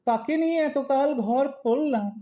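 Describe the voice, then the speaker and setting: A woman's voice: high voice, normal speed, warm tone, close to an everyday voice. A woman playing a lovesick, grumbling husband in his eighties in an audio drama, whining and melodramatic. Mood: self-pitying, wistful.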